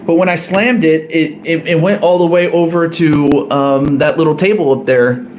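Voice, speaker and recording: A man's voice: a little high, steady tone, normal speed, a little mellow, a little hiss or hum, poor audio. A man casually drawing cards on a live stream, hesitant and apologetic, often correcting himself. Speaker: streamer drawing cards for a fan wrestling tournament.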